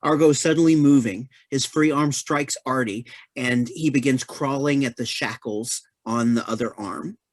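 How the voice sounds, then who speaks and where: A woman's voice: extremely low pitch, moderate pace, few pauses, no echo. A woman reading stage directions as scripted narration for a play reading.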